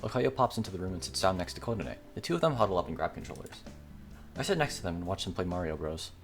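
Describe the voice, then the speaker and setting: Lively low-voiced man narrating a game. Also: quick pace. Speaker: let's play host reading a visual novel aloud. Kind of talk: casual dramatic reading with reaction asides. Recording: music behind the voice. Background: game music.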